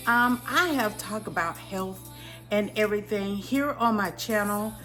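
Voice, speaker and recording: A woman's voice: mid-range voice, unhurried, fairly bright tone, soft background music. A woman of fifty talking casually to her viewers, chatty and calling them guys, with lots of ums. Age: 50s. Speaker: vlogger. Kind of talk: casual vlog talk to camera. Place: in a car, driving to appointment.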